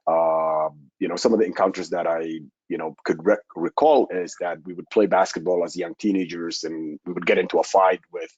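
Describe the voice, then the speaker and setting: A very deep-voiced man with a husky, rough-edged tone, speaking slowly and carefully as he searches for his words. His voice comes through a dull, lo-fi video call with a dry, close sound. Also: steady tone, darkish tone, dry recording. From Somalia. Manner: halting, measured, searching for words. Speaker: panelist. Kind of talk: personal account on a panel.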